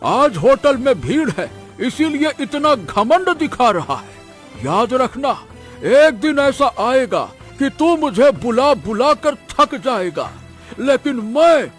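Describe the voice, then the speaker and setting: A man's voice: extremely high pitch, sing-song, soft background music, full character voice. A man voicing a proud, easily offended old village customer in a Hindi cartoon, talking like a scolding elder. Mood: angry. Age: older. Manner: slow, indignant, scolding elder. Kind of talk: Hindi cartoon story dialogue.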